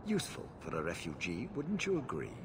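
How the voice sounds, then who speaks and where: An actor playing a haughty old city magistrate in a video game, speaking in a pompous, commanding manner. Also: male voice, sits low, huge pitch swings, brisk, creaky, exaggerated voice. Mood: imperious. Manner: pompous, imperious. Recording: noisy ambient background.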